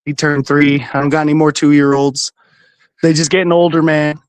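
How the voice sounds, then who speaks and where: A laid-back man, a regular on the network, chatting over a video call and laughing easily. His voice sits a little high for a man, and the sound is dry with no room around it. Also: level tone, moderate pace, clear, resonant tone, no echo. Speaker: podcast guest, regular of the network. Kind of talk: casual sports podcast chat.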